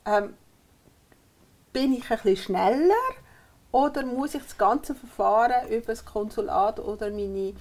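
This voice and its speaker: A woman's voice: on the high side, unhurried, a little mellow. A woman from Switzerland, hosting and asking questions in a casual podcast chat. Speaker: podcast host.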